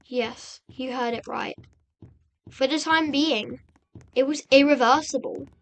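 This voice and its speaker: A woman narrating a dramatic story recap in a halting, suspenseful manner, repeating phrases for effect. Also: high voice, slight background noise. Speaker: video narrator and host.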